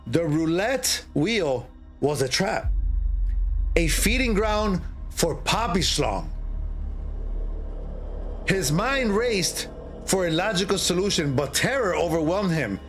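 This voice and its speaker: Middle aged guy reading scary story, slow n dramatic, pitch swooping around, music behind. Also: big pitch swings, a bit bright. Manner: theatrical, halting delivery with repeated phrases. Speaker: streamer, horror story reader. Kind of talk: dramatic storytelling read aloud.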